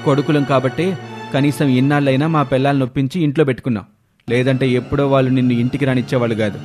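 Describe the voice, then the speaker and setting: A man's voice: medium pitch, steady tone, fast, darkish tone, soft background music, lightly acted. A man playing a callous, mocking elder son in a cartoon, condescending and dismissive. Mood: coldly dismissive.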